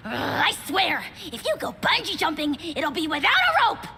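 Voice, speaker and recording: Shrill, pompous little dragon lord. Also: female voice, wildly swooping pitch, normal speed, creaky, background noise, full character voice. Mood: haughty fury. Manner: pompous, insult-spewing, grandiose royal "we". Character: tiny bombastic self-proclaimed almighty dragon lord. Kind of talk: video game character acting. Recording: game music underneath.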